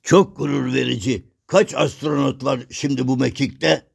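A man's voice: mid-range voice, fairly even intonation, normal speed, gravelly, husky. Teasing, hearty cartoon father. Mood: warm, curious. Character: gruff, jokey, affectionate father, folk puppet character. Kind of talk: children's cartoon acting.

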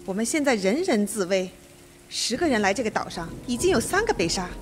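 A woman playing a composed, authoritative judge in a Mandarin radio drama, speaking in a measured, probing way. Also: mid-range voice, sing-song, over music, natural voice. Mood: cool, commanding.

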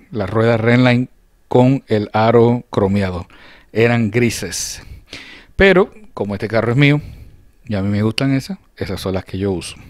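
A man narrating a casual hands-on demonstration as a channel host, often halting to search for words. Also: lowish voice, a little mellow, no echo, studio quality. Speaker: host of a diecast restoration channel. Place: indoors at a hobby table.

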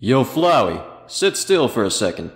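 A man's voice: medium pitch, big pitch swings, normal speed, natural voice. A man playing a casual, commanding asker in a scripted dub, laid-back in manner. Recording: echoey reverberant room.

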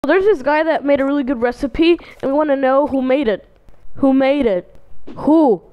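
A woman voicing excitable young cartoon characters in a casual fan dub, with slangy, exclamatory delivery. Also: high voice, moderate pace, dry recording, muffled recording, lightly acted. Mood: eager.

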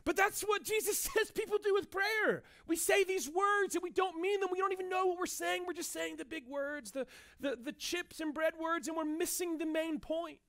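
Pastor, 30s, male, very high pitch; casual, animated sermon delivery. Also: normal speed, few pauses. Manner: animated, conversational preaching with frequent "right?" asides. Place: church stage with band gear.

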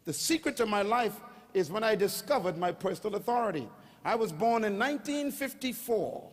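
An older man with a Bahamian accent preaching a sermon on stage into a handheld mic, calling on the crowd to answer back and rising to shouts. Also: high voice, lively intonation, moderate pace. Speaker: pastor. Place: large auditorium stage. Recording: long hall reverb.

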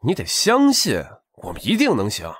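Guy as a tipsy hustler talking his buddy round, raspy, super animated, audiobook drama. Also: medium pitch, normal speed, heavily acted. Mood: persuasive. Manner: earnest, coaxing, colloquial. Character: scheming, persuasive male street hustler, tipsy.

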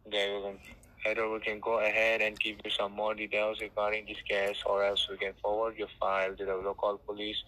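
A man's voice: on the low side, monotone, fairly quick, sharp tone. A man working as a scam caller, talking over a phone line from a noisy call center. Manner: defensive, angry, swearing.

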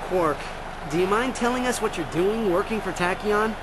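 Wry, higher-voiced young hero. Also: male voice, lively intonation, normal speed, heavy background noise. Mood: sardonic. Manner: wry, sardonic. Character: young male furry alien hero, wry, skeptical. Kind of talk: video game cutscene acting.

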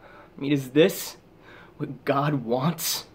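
A man performing a dramatic monologue as a haunted, guilt-ridden soldier back from war, halting and intense, with heavy pauses. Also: mid-range voice, slightly rough. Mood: tormented.

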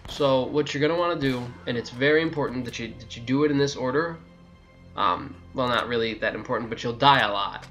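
A man's voice: medium pitch, brisk, a bit bright, soft background music. A man giving a casual, sweary, exasperated video game walkthrough commentary.

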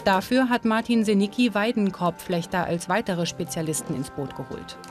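A woman narrating a documentary in a calm, measured, informative way. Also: medium pitch. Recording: voice-over with music behind. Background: music.

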